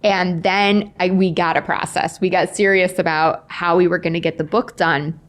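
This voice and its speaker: A woman's voice: on the low side, steady tone, normal speed. A woman in her forties chatting casually as an interview guest, telling stories with acted-out dialogue and self-deprecating humor. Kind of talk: casual conversational storytelling.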